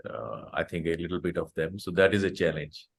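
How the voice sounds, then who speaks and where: A man's voice: low-pitched, expressive, brisk, gravelly, breathy edge. Hesitant Indian man hosting a video chat. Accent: Indian English. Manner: hesitant, with many "uh" fillers and restarts. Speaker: host of an online support group chat. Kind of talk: casual conversational interview.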